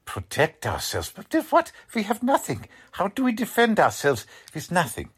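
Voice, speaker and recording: A man's voice: high voice, huge pitch swings, moderate pace, slightly rough, heavily acted. A man playing an anxious, principled middle-aged German-American father in a 1940s-style radio drama, grave and earnest. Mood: worried, pleading. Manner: grave, earnest, formal phrasing. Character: anxious German-American family patriarch, urgent but principled.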